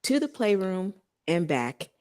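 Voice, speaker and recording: A woman with a Texas accent co-hosting a casual radio talk show, speaking emphatically and deliberately. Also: mid-range voice, very animated, darkish tone, slight background noise. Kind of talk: casual chat with promotional read-outs.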